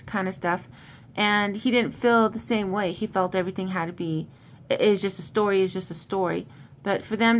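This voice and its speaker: A woman talks through a story in an informal, slangy way, like a host recapping a book for listeners. Her voice sits at an ordinary mid pitch. There is noticeable noise and a low rumble behind her. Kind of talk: casual book summary with commentary. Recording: clearly noisy, low background rumble.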